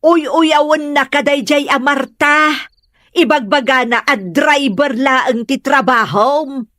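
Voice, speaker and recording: A woman's voice: high voice, slow, fairly bright tone, no echo, studio quality. A woman acting a stubborn, grudge-holding mother of a grown son in an Ilocano comedy drama. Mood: scolding, stubborn.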